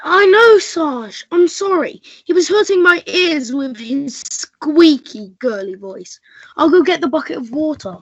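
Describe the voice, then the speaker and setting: A woman's voice: high-pitched, animated, measured pace, dry recording, muffled recording. A woman playing an eager, thuggish, childlike male police constable who whines, in a radio melodrama. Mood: sulky, apologetic. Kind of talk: melodramatic radio play acting.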